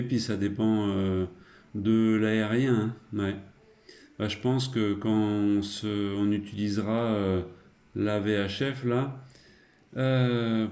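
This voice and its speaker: A man's voice: fairly low pitch, dark tone. A man chatting casually as a radio operator, in a rambling, hesitant way. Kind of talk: casual radio contact chat.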